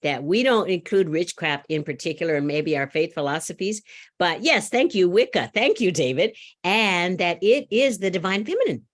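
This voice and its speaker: A middle-aged woman giving a sermon, loud and animated, laughing often and telling a story. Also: fairly low pitch, normal speed. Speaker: speaker at a church service.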